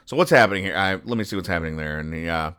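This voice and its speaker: A man hosting a podcast, reading out and explaining comic strips in a deadpan, mock-serious manner. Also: low voice, racing, clean tone, dry recording, clean studio sound. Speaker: podcast host.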